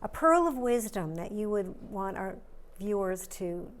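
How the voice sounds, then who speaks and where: A woman co-hosting a talk show, warmly encouraging her guest while wrapping up the conversation. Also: medium pitch, animated, measured pace, a little hiss or hum. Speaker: co-host.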